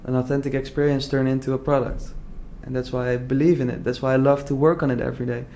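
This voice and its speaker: A man's voice: medium pitch, level tone, quick pace, warm tone, a little hiss or hum. A young man from the Netherlands talking casually and earnestly in an interview, full of "like" fillers and restarts. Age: young adult. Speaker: entrepreneur guest.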